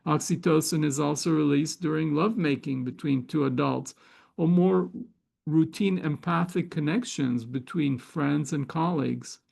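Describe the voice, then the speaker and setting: A man's voice: a little high, normal speed, creaky, darkish tone. A man with a Hebrew accent, native Hebrew speaker, hosting a spiritual gathering and giving a reflective talk with frequent hesitations. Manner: thoughtful, with frequent hesitations and fillers.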